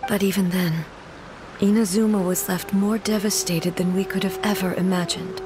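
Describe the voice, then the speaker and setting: A woman playing a regal, immortal shogun in a video game, formal and measured, grieving yet composed. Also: on the low side, lightly acted. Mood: sorrowful.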